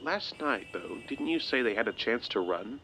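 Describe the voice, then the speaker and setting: A man's voice: medium pitch, animated, normal speed, pushed and bright, a little hiss or hum. A man playing a polite, doubtful civilian survivor in an audio drama, with a formal, wry manner. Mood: wary, skeptical.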